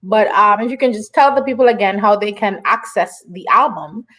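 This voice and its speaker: A woman's voice: mid-range voice, brisk, a bit bright. A woman hosting an online interview in a casual, encouraging way, with frequent affirmations. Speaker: online show host.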